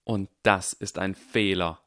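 A defiant young schoolboy wizard talks with a clipped, deadly calm, as a male narrator puts him on for an audiobook. The voice sits higher and louder than the narration around it. It is recorded close and dry. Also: slow, dry recording, full character voice. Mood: icy calm.

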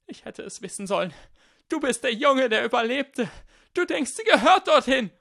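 Audiobook role: desperate teenage boy, high and shrill, intense, swooping delivery, played by a man. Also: big pitch swings, normal speed, creaky, no echo, clean studio sound, full character voice. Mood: shrieking rage. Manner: stammering pleas, spitting insults. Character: desperate teenage boy, pleading, turning to shrieking rage.

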